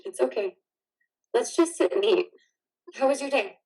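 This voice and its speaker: A woman's voice: a little high, animated, moderate pace, a little mellow, breathy edge, rough recording. A woman in a reader's theater drama playing a caring, worried wife, soothing and pleading. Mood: concerned.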